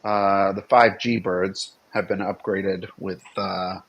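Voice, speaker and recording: A man's voice: low-pitched, flat delivery, slow, muffled recording. A middle-aged man co-hosting a casual livestream as a game designer, bantering in a dry, tongue-in-cheek way. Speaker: game designer and stream co-host.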